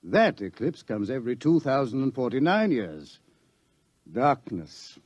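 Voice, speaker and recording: An actor playing an authoritative middle-aged scientist who lectures deliberately and ominously about coming doom, in an old radio drama style. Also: male voice, mid-range voice, a little mellow, dry recording. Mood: grave, ominous. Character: authoritative older male scientist explaining impending doom. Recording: dull lo-fi old radio recording.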